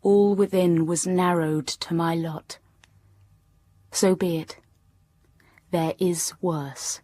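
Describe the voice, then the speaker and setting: An actress playing a reserved, melancholy young gentlewoman, narrating her life in a measured way in a literary audio drama. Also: lowish voice, fairly even intonation, a little hiss or hum. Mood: restrained, resigned. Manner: measured, sombre. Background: music.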